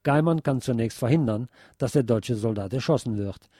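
Mellow male radio host, dry sound. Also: mid-range voice, normal speed, breathy edge, dry recording. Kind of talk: scripted radio narration.

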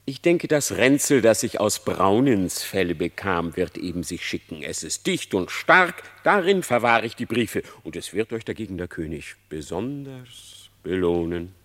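An actor playing a cunning male fox, a smooth-talking trickster, in a classic verse radio play. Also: sits low, sing-song, measured pace, few pauses, a bit bright, exaggerated voice. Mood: sly. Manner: wheedling, persuasive, theatrical verse delivery.

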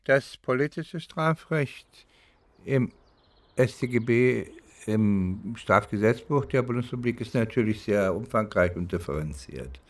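Older male legal professional from East Germany, slow and deliberate, reflective interview delivery with frequent pauses. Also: fairly low pitch, expressive, a little hiss or hum. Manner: deliberate, halting, pausing between phrases. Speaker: legal professional recounting his experience. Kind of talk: reflective spoken recollection, interview-like.

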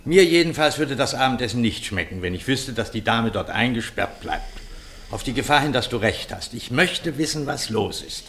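An actor in a German radio play playing an earnest, determined gentleman adventurer, insistent and indignant. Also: mid-range voice, animated, normal speed, slight background noise.

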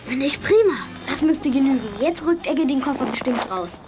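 High-voiced bossy young schemer. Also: female voice, animated, moderate pace. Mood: pleased scheming. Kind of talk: German children's radio play acting. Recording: music and outdoor sounds behind.

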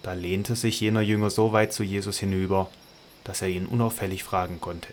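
Low, flat-voiced male narrator. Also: slight background noise. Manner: measured, even. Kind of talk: scripted narration.

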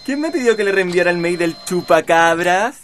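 A woman's voice: lowish voice, lively intonation, normal speed, slight background noise, natural voice. A woman playing a grumbling, slangy schoolboy with a Chilean accent in an educational radio drama. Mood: casual. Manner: complaining, slangy.

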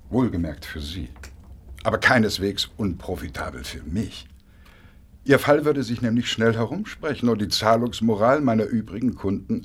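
An actor playing a suave, smug blackmailer in a radio drama, speaking with unctuous mock politeness and drawn-out hesitations. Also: male voice, lowish voice, breathy edge. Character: suave, smug male blackmailer, oily and coolly menacing.

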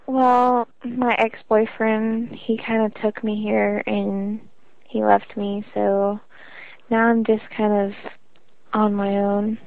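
Woman playing a creaky-voiced teenage runaway on phone. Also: medium pitch, little pitch movement, slow pace, dry recording. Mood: offhand, hesitant. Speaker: prank caller. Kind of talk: phone conversation. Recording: tinny phone line.

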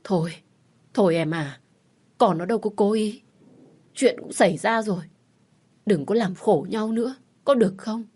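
A woman voicing a tired older father-in-law trying to calm his angry wife. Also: medium pitch, normal speed, long pauses, husky, lightly acted. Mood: placating. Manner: coaxing, placating.

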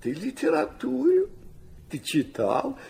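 Radio drama, flighty elderly prince, high-pitched and creaky, rambling fondly with bits of French. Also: male voice, huge pitch swings, slow, a little mellow, full character voice. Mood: sentimental, effusive. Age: older. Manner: hesitant, rambling, stammering, drops in French phrases. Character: doting, rambling old Russian prince, sentimental and flighty. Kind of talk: radio play acting.

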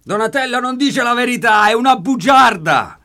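A man's voice: extremely high pitch, animated, moderate pace, creaky, pushed and bright, full character voice. An actor playing an arrogant accused man in a radio drama, emphatically denying and accusing. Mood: indignant, accusing. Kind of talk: radio theatre courtroom testimony.

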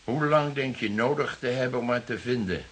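An actor playing a stern, formal police commissioner in a radio drama, with an authoritative, clipped manner. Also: male voice, medium pitch, fairly quick, fairly bright tone, slightly rough. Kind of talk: Dutch radio drama acting.

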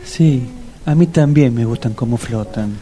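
An actor playing a chatty, teasing friend on a double date in a comic radio play. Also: male voice, medium pitch, unhurried, warm tone, natural voice. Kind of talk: radio comedy drama acting.

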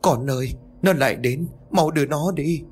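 A man's voice: on the low side, big pitch swings, normal speed, faint music behind. A man voicing a terrified old grandmother who pleads and cries out in panic. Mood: panicked.

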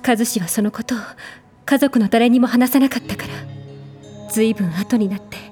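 An actress playing a troubled, caring elder sister confiding in audio drama dialogue. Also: female voice, a little high, normal speed, darkish tone, soft background music, close to an everyday voice. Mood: hesitant, confiding.

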